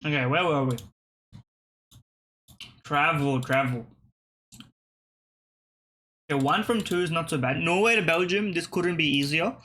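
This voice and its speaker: A man's voice: a little high, a little slow, pauses often, pushed and bright, a little hiss or hum. A young man casually thinking aloud and swearing while playing geography web games. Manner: thinking aloud, profane, muttering and restarting. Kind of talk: casual gameplay commentary.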